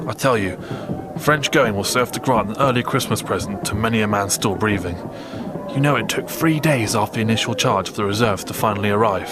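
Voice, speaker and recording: Man acting a deep-voiced, world-weary London army sergeant. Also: a little fast, over music, natural voice. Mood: deferential, frank. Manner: blunt, world-weary, colloquial. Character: gruff, plain-spoken British army sergeant of World War One. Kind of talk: audio drama acting.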